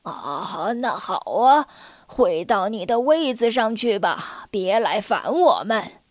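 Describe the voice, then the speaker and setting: An old circus bear with a low, heavy voice that plods along slowly, put on by a woman reading the story. He mumbles and pretends to mishear everything, sly beneath the muddle. The sound is dry and close, with no room around it. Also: lively intonation, no room sound.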